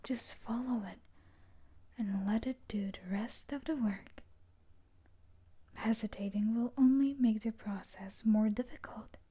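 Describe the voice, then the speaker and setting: A woman playing an obsessive yandere stalker from a dark romance book in an intimate audio roleplay, breathy and coaxing with quiet menace, often dropping into a whisper. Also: fairly high pitch, measured pace, long pauses, slight background noise, lightly acted.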